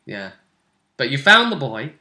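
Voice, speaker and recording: A man's voice: medium pitch, very animated, a little slow, sharp tone, reverberant. A British man chatting casually and emphatically as a game master recapping a tabletop role-playing game.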